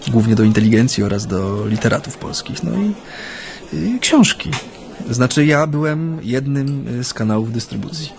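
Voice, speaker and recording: Male actor as earnest Japanese man, radio play, reflective, halting, mid-pitched voice, recollecting activist days. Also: gravelly, darkish tone, lightly acted. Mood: reflective, wry. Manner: hesitant, reflective, with frequent hums and pauses. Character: earnest Japanese man recalling his Solidarity-era activism. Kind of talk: radio drama, conversational recollection.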